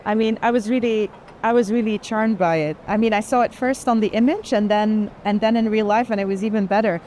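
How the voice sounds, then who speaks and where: A woman's voice: medium pitch, steady tone, fairly quick, darkish tone, a little hiss or hum. A woman curator explaining artworks on a walking tour of an art fair, enthusiastic and full of restarts. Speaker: chief curator. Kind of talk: walking gallery conversation.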